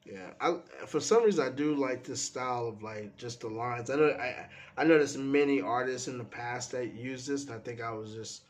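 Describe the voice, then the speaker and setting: A man, stuffy from a cold, casually talking through the pages of his sketchbook in a relaxed, meandering way. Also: medium pitch, moderate pace, hardly any pauses. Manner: relaxed, meandering, trails off often. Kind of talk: casual rambling commentary.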